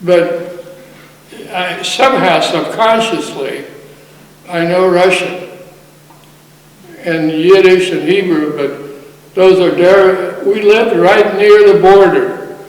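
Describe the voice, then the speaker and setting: An older Polish-born man who speaks slowly, pausing and correcting himself as he remembers. His voice sits a bit high for a man. He was recorded from far off in a big echoing hall with a long reverb. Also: fairly even intonation. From Poland. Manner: slow, hesitant, self-correcting recollection. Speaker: war veteran interviewee. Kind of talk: oral history interview. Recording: distant echoey hall recording.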